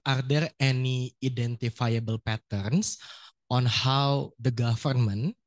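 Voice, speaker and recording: An audience member from Indonesia asking a question from the floor, friendly and a little hesitant. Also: male voice, lowish voice, a little mellow, dry recording.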